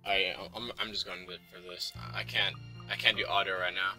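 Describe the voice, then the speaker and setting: A man hosts a let's play in a sharp, forceful, slightly low voice. He reads visual novel narration with stumbles and slips into informal commentary, with game music behind him. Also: level tone, rough recording. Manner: informal, halting, stumbles while reading.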